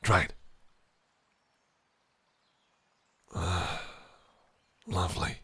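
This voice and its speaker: A man's voice: low-pitched, fairly even intonation, slow pace, a bit bright, slightly rough, exaggerated voice. A man voicing a possessive, cunning fae prince in an audio roleplay, speaking in a silky, teasing, menacing way. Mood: charming. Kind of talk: listener-directed audio roleplay.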